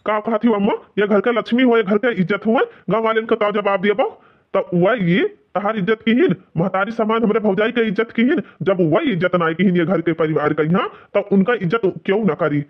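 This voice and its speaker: A man's voice: high-pitched, a little fast, natural voice. A man voicing an angry, proud son in an Awadhi family cartoon comedy, indignant and blustering, with an Awadhi accent. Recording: dull, lo-fi sound.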